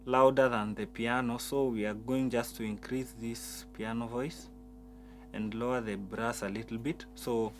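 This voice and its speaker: Guy explaining keyboard voices, restarts a lot, soft keyboard music under him. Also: mid-range voice, steady tone. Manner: halting, repeats words, explains step by step. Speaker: piano instructor. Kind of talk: keyboard tutorial.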